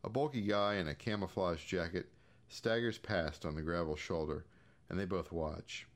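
A man's voice: deep voice, normal speed. An American man giving a scripted, audiobook-style narration of short fiction. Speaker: short story reader.

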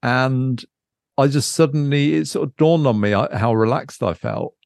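A British man in relaxed podcast conversation, his mid-pitched voice edged with vocal fry. He talks in a hesitant, reflective way, correcting himself as he goes. The sound is close and dry. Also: normal speed, dry recording. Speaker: podcast co-host. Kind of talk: casual unscripted chat.

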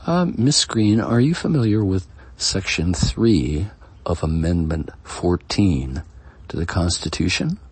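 A man's voice: low-pitched, animated, a little slow, a little mellow, slightly rough, dry recording, heavily acted. A man playing an earnest, insistent prosecutor in a dramatic reading, formal and emphatic. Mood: pressing. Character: earnest, insistent male prosecutor pressing a witness.

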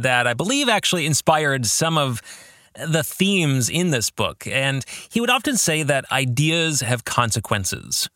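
A middle-aged man hosting a reflective book podcast, speaking deliberately with thoughtful pauses and putting questions to listeners. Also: mid-range voice, sharp tone, no echo, studio quality. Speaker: podcast host and author discussing his own novel.

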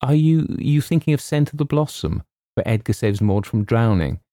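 Man putting on a sweet gushing young woman, high-pitched. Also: expressive, normal speed, mellow, no room sound, clean studio sound, natural voice. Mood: shy, earnest. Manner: breathless, gushing, hesitant.

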